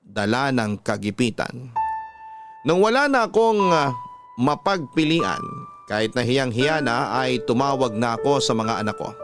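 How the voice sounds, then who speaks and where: A man's voice: medium pitch, expressive, a little slow, over music, close to an everyday voice. A Filipino man playing a regretful working-class father, telling his story in an earnest, confessional radio-drama narration. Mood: regretful, confiding.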